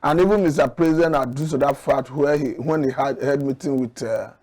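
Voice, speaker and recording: A man with a Nigerian accent giving current affairs commentary as a guest analyst, measured and earnest as he argues his points. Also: medium pitch, darkish tone.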